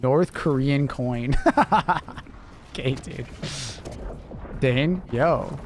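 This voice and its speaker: A man's voice: medium pitch, extremely slow, a little mellow, slight background noise. A young Canadian man with a mild, near-American accent, chatting casually on a live stream in a loose, rambling way with lots of fillers. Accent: Canadian, close to American. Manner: laid-back, rambling, lots of fillers, chuckles.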